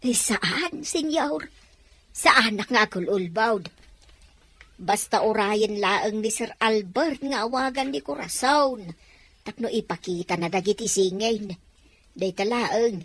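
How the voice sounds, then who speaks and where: A woman's voice: medium pitch, expressive, slow pace, long pauses. A woman acting a deferential but chatty household maid in an audio drama. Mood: nervous.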